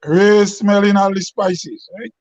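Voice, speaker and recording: A Grenadian man speaking as a guest writer on a talk show, halting and restarting his phrases. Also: high voice, fairly even intonation, a little mellow, dry recording. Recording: dry, dull lo-fi sound.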